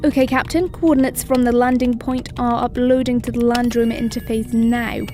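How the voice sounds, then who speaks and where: A woman's voice: on the high side, flat delivery, normal speed, dark tone, soft background music, natural voice. A woman playing a precise orbital controller. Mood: matter-of-fact. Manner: precise, matter-of-fact. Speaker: mission control officer. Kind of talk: audio drama acting.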